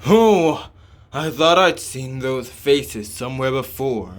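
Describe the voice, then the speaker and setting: Guy doing a calm surgeon from a game, talks real slow and measured, explains things carefully. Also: medium pitch. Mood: calm, resolute. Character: calm male surgeon devoted to protecting humans. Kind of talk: scripted game dialogue reading.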